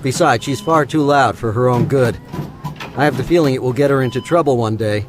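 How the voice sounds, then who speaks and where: Man acting a pompous, scheming older gentleman. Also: mid-range voice, brisk, over music, close to an everyday voice. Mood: calculating. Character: wealthy, scheming, self-important older male hospital patron. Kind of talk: audio drama acting.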